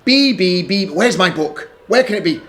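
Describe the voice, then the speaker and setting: A man voicing an excitable, insistent little girl in a storybook reading. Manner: high, eager, pleading, quick.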